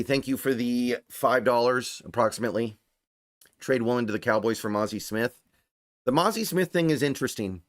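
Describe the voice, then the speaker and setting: A man hosting a casual sports livestream, thinking aloud and repeating points for emphasis as he answers viewer chat, his voice starting to go a little hoarse. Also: medium pitch, no room sound, clean studio sound. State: voice getting strained, starting to go hoarse.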